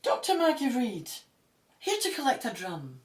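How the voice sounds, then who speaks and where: A woman acting a brisk, sceptical Egyptologist with a wry, matter-of-fact manner in an audio drama. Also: a little high, wildly swooping pitch. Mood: wry, apprehensive.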